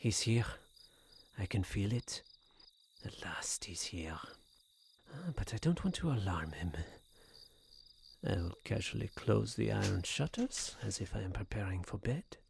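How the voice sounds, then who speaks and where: An actor playing a tormented, paranoid gentleman losing his mind, in a theatrical radio suspense style with a husky voice. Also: fairly low pitch, expressive, unhurried, pauses often, slight background noise. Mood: brooding, secretive. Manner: theatrical, brooding monologue swelling to frenzy. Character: tormented paranoid Frenchman gentleman descending into madness. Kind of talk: radio suspense drama acting.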